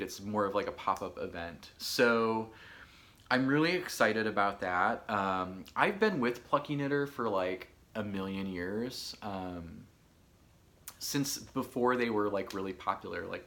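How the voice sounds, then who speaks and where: Chatty hoarse man in his thirties. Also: fairly low pitch. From Michigan, USA. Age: 30s. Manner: chatty, animated, lots of filler words. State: hoarse from allergies. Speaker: podcast host. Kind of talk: casual solo chat.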